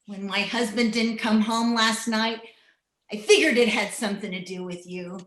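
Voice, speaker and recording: A woman's voice: a little high, moderate pace, fairly bright tone, natural voice. A woman playing a mean, vengeful older rancher's wife in a radio western, with blunt rural speech. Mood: impatient, demanding. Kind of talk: old-time radio western acting. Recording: roomy, echoing home video-call recording.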